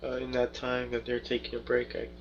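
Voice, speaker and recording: A man's voice: medium pitch, monotone, fairly quick. A man speaking casually as a player in a tabletop roleplaying game. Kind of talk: casual chat. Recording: dull lo-fi audio with hum.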